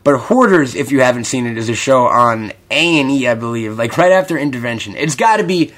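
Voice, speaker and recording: A man in his mid-twenties talks loosely and fast on his feet in a casual podcast chat. He rambles, swears freely and jokes, at a middle pitch. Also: animated, a bit bright. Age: 20s. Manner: profane, rambling, jokey.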